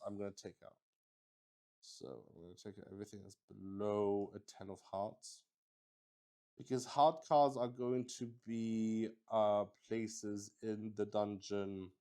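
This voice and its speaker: A man with a lowish, mellow voice improvises as game master of a solo tabletop roleplay. He takes his time, pausing often and working things out aloud as he goes. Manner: hesitant, pausing, thinking aloud.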